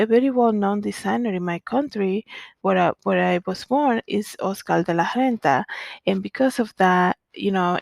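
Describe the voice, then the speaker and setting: Young adult woman, Dominican-born designer, talks casually with lots of fillers; slight vocal fry, dry recording. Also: mid-range voice, no room sound. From Dominican Republic. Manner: chatty, many "you know" fillers and restarts. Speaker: fashion designer and vlogger. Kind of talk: casual storytelling monologue.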